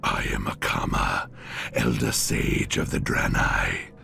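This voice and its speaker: An ancient, weary elder of an alien people in a fantasy game. His voice is extremely low and thick with gravelly creak. He speaks slowly and gravely, with old grievance in every phrase. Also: bright, forceful tone, faint music behind, exaggerated voice. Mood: solemn, bitter. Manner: slow, grave, deliberate. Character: weary old Draenei elder sage, solemn, vengeful. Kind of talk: fantasy video game acting.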